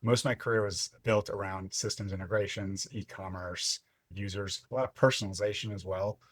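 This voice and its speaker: A man in his fifties, originally from Lebanon, telling his story as a podcast guest in a reflective, unhurried way. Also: on the low side, fairly even intonation. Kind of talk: casual interview chat.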